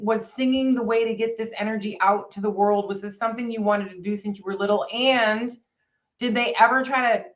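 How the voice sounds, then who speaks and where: Effusive woman co-host, dull echoey remote sound. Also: medium pitch. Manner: effusive, animated, dramatizes with voices. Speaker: interview co-host. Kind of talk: casual interview questions. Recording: muffled, echoey remote audio.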